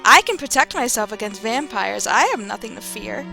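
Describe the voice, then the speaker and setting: An actress playing a calm, knowing wise woman who gave out a spell, in an old-time radio drama. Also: a little high, vocal fry, full character voice, rough recording. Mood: cool. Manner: slow, calm, unbothered, measured. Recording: radio play with music underneath.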